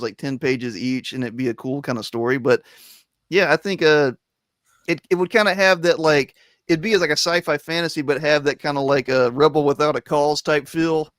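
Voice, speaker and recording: A man's voice: on the high side, fairly bright tone, no echo, studio quality. A man hosting a casual roundtable stream, rambling with lots of fillers and frequent laughs. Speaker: host of a comics stream. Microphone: remote stream mic.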